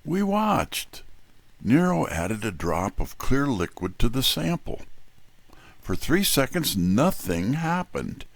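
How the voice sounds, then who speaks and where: A man plays a streetwise detective's assistant telling a hardboiled mystery. His delivery is dry and wry, slow, with a gravelly fry and a lively, shifting pitch. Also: no room sound, full character voice. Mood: suspenseful. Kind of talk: hardboiled first-person mystery narration.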